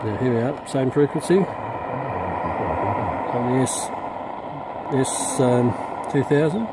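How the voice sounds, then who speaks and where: Male hobbyist reviewer, middle-aged, halting and informal, recorded outdoors on a camera mic with heavy static behind him. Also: medium pitch. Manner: hesitant, unscripted, muttering while working. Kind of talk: informal hands-on demonstration. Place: outdoors in a backyard. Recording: loud radio static and background hiss. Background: shortwave radio noise.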